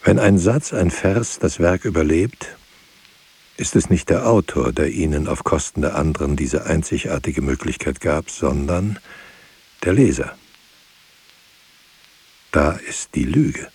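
An actor playing a brooding, weary writer who speaks poetic radio drama monologue in a deliberate, halting way. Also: male voice, heavily acted. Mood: grave, reflective. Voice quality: gravelly, husky, low. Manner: deliberate, halting, hushed at times. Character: brooding, weary male writer pondering word and truth.

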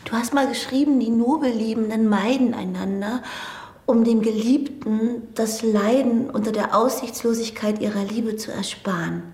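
Mellow-voiced yearning young woman, quietly obsessed an actress's role. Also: on the high side, steady tone, moderate pace, roomy sound, natural voice. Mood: wistful, probing. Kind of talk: naturalistic radio drama acting.

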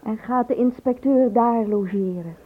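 An actress playing a curious, chatty, starry-eyed office secretary in a radio drama, on a muffled, tinny old recording. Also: female voice, a little high, a little slow. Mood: curious, wistful.

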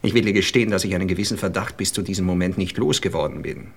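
Vain male writer and lover, Austrian accent, lowish husky voice, pompous, gushing, theatrical radio play. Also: racing. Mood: solemn, adoring.

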